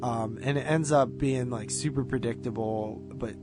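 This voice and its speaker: A man with a mid-pitched voice in a casual podcast conversation, reviewing films. He talks informally and rambles through his points. Guitar music plays clearly behind him. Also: normal speed. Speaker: podcast co-host. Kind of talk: casual movie review chat.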